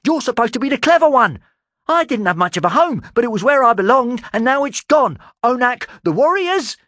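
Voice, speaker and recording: A man voicing a hot-headed young male ganger who shouts in fury. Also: high voice, big pitch swings, normal speed, no room sound, clean studio sound, voice pushed up, heavily acted. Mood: raging. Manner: shouting, raging.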